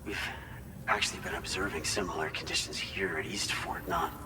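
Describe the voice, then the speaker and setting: An actor playing an earnest, grateful facility staffer in a video game cutscene, speaking in a measured, polite, explanatory way. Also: male voice, sits low, long reverb tail, background noise, lightly acted. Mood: grave. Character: earnest male facility staffer briefing a porter, grateful and hopeful.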